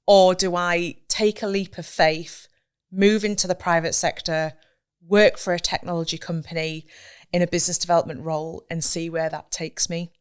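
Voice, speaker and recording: A woman in her thirties chats as a guest, earnest and reflective. She often restarts as she works through her thoughts. Her voice is slightly low and very smooth and clear. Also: little pitch movement, moderate pace. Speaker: guest, business owner. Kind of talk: casual interview chat.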